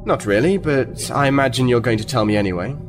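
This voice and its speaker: An actor playing a firm, formal military captain who is politely confrontational, in a sci-fi audio drama. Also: male voice, medium pitch, fast talker, over music, lightly acted. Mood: dryly combative. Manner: formal, assertive.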